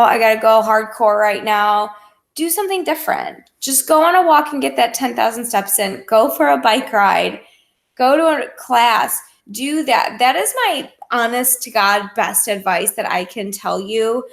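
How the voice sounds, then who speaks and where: A woman's voice: on the high side, vocal fry. An American woman talking casually and encouragingly as a fitness coach on a group call, in an informal, conversational way. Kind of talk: casual motivational coaching talk.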